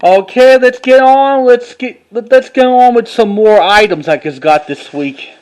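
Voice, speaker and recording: High-voiced man in a halting show-and-tell. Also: a little mellow, low-quality recording. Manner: halting, repetitive, informal delivery. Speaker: collector showing items to camera. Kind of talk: casual show-and-tell vlog.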